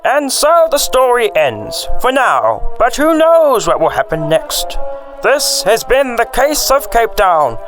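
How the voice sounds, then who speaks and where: High-voiced male radio drama narrator. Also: wildly swooping pitch, slow, a bit bright, faint music behind. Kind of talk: scripted narration.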